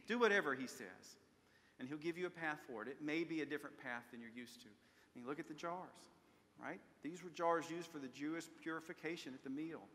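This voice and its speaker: A man's voice: fairly high pitch, fairly quick, fairly bright tone. An older man preaching a sermon from a church pulpit, telling stories earnestly and asking rhetorical questions. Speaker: pastor. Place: large church sanctuary at a pulpit. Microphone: pulpit microphone, far camera. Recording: long church reverb.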